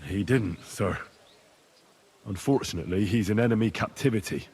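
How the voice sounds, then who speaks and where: An actor voicing an earnest, determined young squire in a video game, with a slightly husky voice. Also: male voice, fairly low pitch, moderate pace, a little mellow. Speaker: game protagonist.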